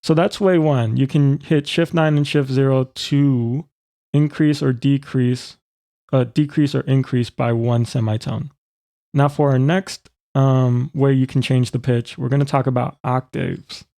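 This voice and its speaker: A man walks through a software tutorial step by step in an informal way. His voice is gravelly and mellow, of middling pitch, recorded close and very dry. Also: fairly even intonation, unhurried, no echo. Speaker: tutorial presenter.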